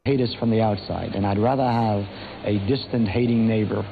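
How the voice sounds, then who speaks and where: A man with a Brooklyn accent answering interview questions in a blunt, steady, declarative way. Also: lowish voice, brisk, creaky. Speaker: interviewee in archive clip. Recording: tinny old archive clip with noise.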